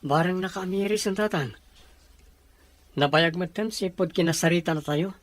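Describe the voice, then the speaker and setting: Man acting young male, Ilocano accent, higher-than-average pitch, respectful groom-to-be, radio-drama delivery. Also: normal speed, dry recording, natural voice. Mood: hopeful, respectful. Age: young adult.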